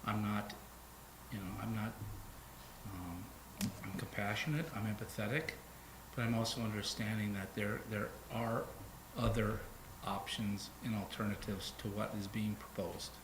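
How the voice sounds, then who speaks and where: A man in his fifties making a halting, earnest public comment at a city council meeting. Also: lowish voice, fairly even intonation. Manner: halting, earnest, many restarts. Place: council chamber. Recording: echoey chamber with noise.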